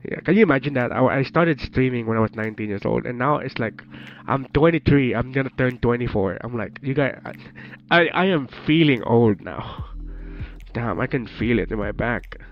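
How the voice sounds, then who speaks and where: A man's voice: medium pitch, lively intonation, moderate pace. A man in his twenties with a Filipino accent, a bit under the weather, chatting casually with his stream viewers, laughing often and teasing them with joking swears. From Philippines. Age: 20s. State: slightly sick. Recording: dull lo-fi stream audio with faint music.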